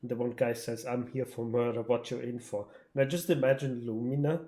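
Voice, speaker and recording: Wry game streamer, mid-pitched voice. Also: steady tone, a little fast, mellow. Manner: wry, joking, sweary. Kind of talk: casual reaction commentary over gameplay.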